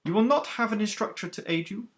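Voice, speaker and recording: A man's voice: sits high, animated, quick pace, a bit bright, pitched above the performer's own voice. A man putting on a stern woman starship captain. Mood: commanding. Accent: stern alien Celestian accent. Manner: clipped and authoritative.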